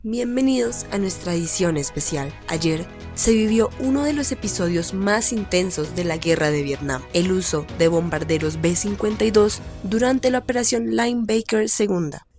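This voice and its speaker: Woman acting as serious female radio anchor, creaky voice, formal scripted bulletin, faint music behind. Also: medium pitch, moderate pace, few pauses, a little mellow, lightly acted. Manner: formal, declarative announcing.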